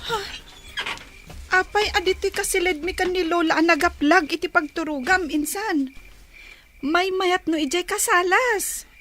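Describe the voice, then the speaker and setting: Very high-voiced young girl cousin. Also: measured pace, fairly bright tone, faint music behind. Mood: questioning. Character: young female cousin, sweet but wary. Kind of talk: radio drama acting.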